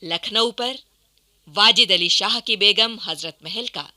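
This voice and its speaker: A woman's voice: medium pitch, slow, bright, forceful tone. A woman delivering formal, dramatic scripted narration for a historical radio play in a declamatory, emphatic manner.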